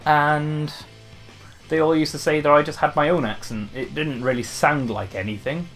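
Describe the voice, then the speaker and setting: A man's voice: on the high side, steady tone, moderate pace, fairly bright tone, faint music behind. A young man from Wales with a light Welsh accent, chatting casually to camera as a gaming YouTuber answering questions, rambling with restarts and fillers.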